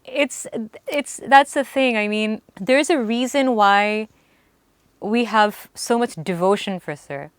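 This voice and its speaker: A young woman, a singer, telling lively stories in an interview, laughing and acting out what people said. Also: mid-range voice, takes it slowly, dry recording, clean studio sound. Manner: animated, laughing, acts out quotes. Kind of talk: storytelling in an interview.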